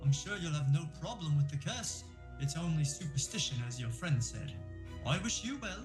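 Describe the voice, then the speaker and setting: An actor playing a formal, theatrical museum professor with a British accent in a cartoon mystery audio drama. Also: male voice, fairly high pitch, lively intonation, moderate pace, close to an everyday voice. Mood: grave, theatrical. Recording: music bed behind the voice.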